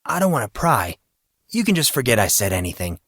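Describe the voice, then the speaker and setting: A man's voice: medium pitch, very animated, fairly quick, fairly bright tone, husky, dry recording, clean studio sound, full character voice. Man playing a wry, direct teenage boy. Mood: gentle concern. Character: fourteen-year-old boy, wry, considerate, assertive.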